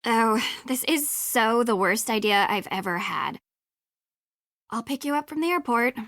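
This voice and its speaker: A woman's voice: on the high side, animated, fairly bright tone, no echo, clean studio sound. A woman voicing a snooty, exasperated young rival in a video game, with petulant, slangy, dramatic delivery. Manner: petulant, slangy, drawn-out emphasis.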